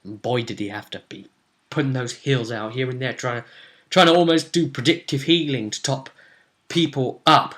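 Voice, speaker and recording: A man's voice: medium pitch, moderate pace, a bit bright. A young man recapping a tabletop game session in a rambling, dramatic, casual way, as a game master vlogging. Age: young adult. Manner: rambling, dramatic storyteller, gesturing. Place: small room at home, indoors.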